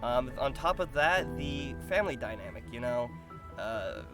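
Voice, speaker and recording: A man's voice: mid-range voice, expressive. Thoughtful, hesitant man over guitar music. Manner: hesitant, thoughtful, pausing to find words. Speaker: voice actor being interviewed. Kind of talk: casual interview answers.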